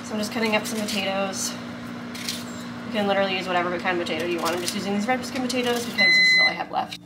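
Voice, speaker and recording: A woman's voice: medium pitch, racing, heavy background noise. A woman talking casually to camera in her home kitchen while she cooks, rambling with lots of "like" and "um". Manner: rambling, many "like" and "um" fillers. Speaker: vlogger and photographer. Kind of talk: casual vlog chat.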